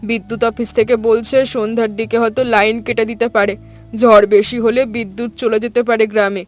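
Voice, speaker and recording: A man's voice: high voice, little pitch movement, fast, soft background music, lightly acted. A man voicing a chatty, neighbourly woman shopkeeper in a cartoon story. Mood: matter-of-fact warning.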